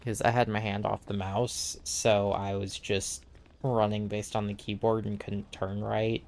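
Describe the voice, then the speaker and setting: A man's voice: fairly low pitch, level tone, unhurried, darkish tone. A man playing a game with a friend, chatting in a dry, laid-back way. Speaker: co-streamer. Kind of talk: casual gameplay chat.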